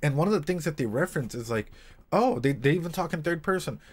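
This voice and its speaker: A man's voice: on the high side, expressive, quick pace, husky. Rambling, slangy male livestreamer. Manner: rambling, repeats phrases, slangy and sweary. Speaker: livestream host and practising therapist. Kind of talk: casual livestream reaction with read-aloud passages and analysis.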